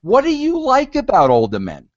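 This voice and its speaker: Brief: man in his fifties, bossy executive, swooping animated delivery, muffled lo-fi sound. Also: extremely high pitch, normal speed. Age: 50s. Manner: teasing, pushy, interrupts. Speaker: network executive. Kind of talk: bossy coaching of interviewers.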